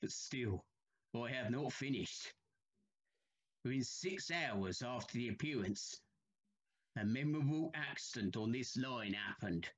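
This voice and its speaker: A man's voice: medium pitch, lively intonation, measured pace, long pauses, breathy edge, low-quality recording. An actor playing a haunted, troubled railway signalman in a period audio drama, telling his tale with grave urgency.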